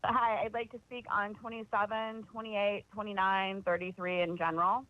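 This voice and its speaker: Brief: high-pitched man, cutting and sarcastic, heard dry and muffled over a phone line. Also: level tone, moderate pace. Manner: sharp, accusatory, sarcastic. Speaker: public commenter calling in. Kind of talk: public comment speech.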